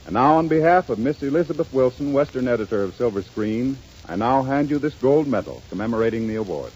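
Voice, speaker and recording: A man working as announcer on a 1930s radio show, with a smooth, formal delivery. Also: medium pitch, animated, quick pace, background noise. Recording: dull lo-fi 1930s radio broadcast.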